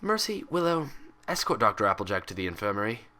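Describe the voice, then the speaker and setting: A man's voice: lowish voice, big pitch swings, quick pace. A man voicing a stern female head nurse pony in a storybook reading, with a cold, commanding manner. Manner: soft but steely, commanding.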